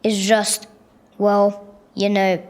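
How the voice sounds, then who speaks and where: A woman's voice: mid-range voice, completely flat delivery, very slow, darkish tone. A young female performer playing a sleepy, puzzled, game-obsessed school pupil in a radio play. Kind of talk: scripted radio play acting.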